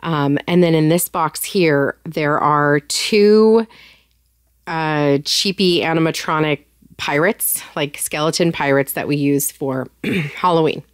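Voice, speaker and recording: Woman vlogger chatting thru her basement storage, lots of ums n likes, smooth lowish voice. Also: takes it slowly, no room sound, clean studio sound. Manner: chatty, filler-heavy, enthusiastic. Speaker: home organizing vlogger. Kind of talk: casual walkthrough chat. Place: home basement storage room.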